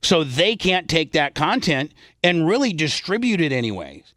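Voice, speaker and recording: Guy hosting a radio show, kinda high voice, blunt and sweary, dry close studio sound. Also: sing-song, normal speed, fairly bright tone, no room sound. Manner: blunt, salty-tongued. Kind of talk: unscripted radio talk.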